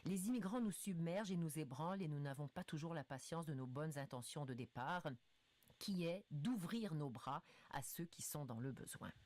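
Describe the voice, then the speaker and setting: A middle-aged woman talks as a panelist on a radio show. Her voice is very low for a woman, and her delivery is conversational. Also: normal speed, darkish tone. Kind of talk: conversational discussion. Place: radio studio.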